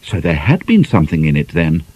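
A man's voice: rumbling low, huge pitch swings, a little fast, a little mellow, slightly rough. A man reading a novel as a scripted audiobook narration. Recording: slightly dull, band-limited audio.

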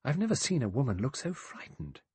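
A man's voice: medium pitch, sing-song, fairly quick, a little mellow, husky, no echo, voice pushed up, heavily acted. A man voicing a nervous young woman who is fighting for composure in an audiobook, hesitant and anxious. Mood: uneasy.

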